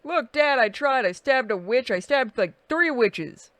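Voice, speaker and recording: A woman's voice: a little high, expressive, measured pace, a bit bright, no room sound, pitched above the performer's own voice. A woman voicing a defiant, exasperated Viking boy making excuses, as a joke during gameplay.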